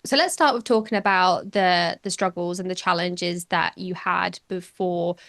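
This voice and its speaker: A woman's voice: lowish voice, normal speed, fairly bright tone. A woman hosting a podcast, narrating a scripted intro and then interviewing a guest with encouraging questions. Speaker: podcast host.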